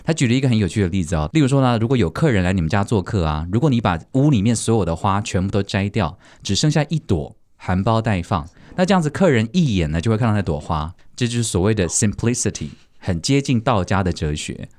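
A man with a fairly low voice that swings widely in melody. He hosts a podcast in a casual, chatty style, teasing his guests playfully and talking directly to listeners. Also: big pitch swings, fast, hardly any pauses, a little mellow. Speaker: podcast host.

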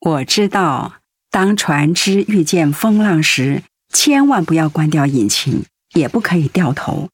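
A caring middle-aged wife in a radio drama. Her voice is fairly low and a little husky, earnest and concerned, recorded close and dry. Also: no room sound. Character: devoted, anxious wife, practical and caring.

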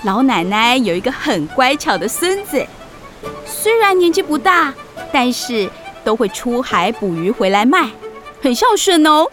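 High-pitched, animated witch auntie. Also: a little slow, over music. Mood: warm, friendly. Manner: expressive, animated. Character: lively, kindly witch aunt who flies a broom. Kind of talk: children's audio drama acting.

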